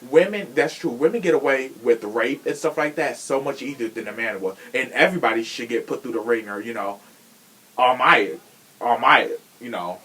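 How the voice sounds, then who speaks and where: Male podcast host, mid-pitched, casual and slangy, with sassy, blunt delivery. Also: moderate pace, pushed and bright, low-quality recording. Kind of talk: casual true crime podcast chat.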